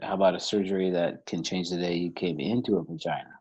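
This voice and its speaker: A man's voice: low-pitched, fairly even intonation, fast, darkish tone, breathy edge, highs cut off. A man in his thirties co-hosting a beer podcast, chatting casually and cracking teasing jokes. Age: 30s. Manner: joking, teasing. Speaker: podcast co-host. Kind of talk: casual chat.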